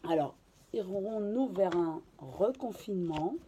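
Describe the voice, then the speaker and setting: A woman with a hoarse voice from a cold, giving a casual card reading and channeling, with many hesitations and restarts. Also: fairly low pitch, expressive, slow pace, noisy background. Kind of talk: casual tarot reading to camera.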